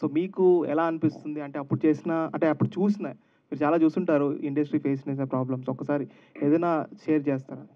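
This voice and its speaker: A male reporter fires off questions quickly at a press event. His voice is slightly high and the sound is a little dull. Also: steady tone.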